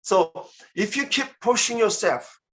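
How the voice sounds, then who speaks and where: A man's voice: high-pitched, lively intonation, measured pace, a bit bright. Earnest Korean-accented man giving a talk. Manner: earnest, repeats and restarts words, coaxing the audience. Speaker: business seminar speaker. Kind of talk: motivational business talk.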